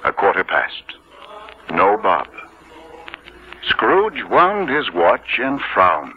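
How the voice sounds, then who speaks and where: A man playing a genial storyteller, narrating a Christmas tale for 1950s radio in a measured, expressive way that savors each phrase. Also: lowish voice, heavily acted. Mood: warm storytelling. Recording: muffled vintage 1950s radio broadcast.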